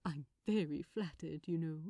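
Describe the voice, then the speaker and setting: A woman voicing a gentle, polite male angel in a read-aloud story, with a soft, prim and courteous manner. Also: deep voice, moderate pace, no echo, clean studio sound, pitched below the performer's own voice. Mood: smug.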